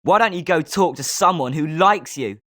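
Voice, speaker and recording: High-voiced schoolboy wizard, smug, rivalrous, clearly enunciated, video game dialogue. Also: male voice, expressive, moderate pace, sharp tone. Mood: scornful. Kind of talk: scripted video game voice lines.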